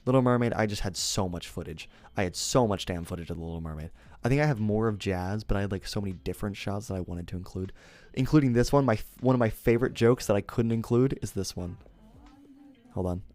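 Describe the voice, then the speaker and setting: A man's voice: on the low side, fast talker, darkish tone, dry recording. A young man streaming, chatting casually and rambling, often correcting himself and laughing.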